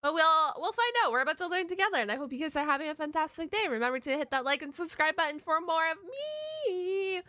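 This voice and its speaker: Bright, animated woman reacting casually. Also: very high-pitched, quick pace, hardly any pauses, no echo. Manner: expressive, thinking aloud. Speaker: YouTube reaction host. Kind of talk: casual live reaction commentary.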